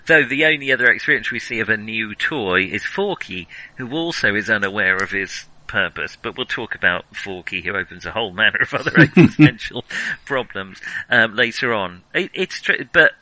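A man's voice: on the low side, lively intonation, normal speed, pushed and bright, no room sound, low-quality recording. A man hosting a casual film discussion, thinking aloud and often rephrasing mid-thought. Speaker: podcast host.

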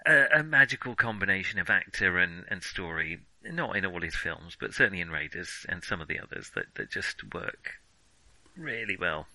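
Bright, low-pitched man chatting about films. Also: lively intonation, normal speed, dry recording, poor audio. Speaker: film podcast co-host. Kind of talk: casual film discussion.

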